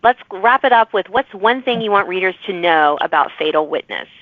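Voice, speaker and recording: A woman's voice: medium pitch, expressive, fairly quick, no echo. Woman host, conversational interview style, affirming, heard through a thin phone-band line. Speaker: podcast host interviewing authors. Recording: narrow phone-like sound, dry.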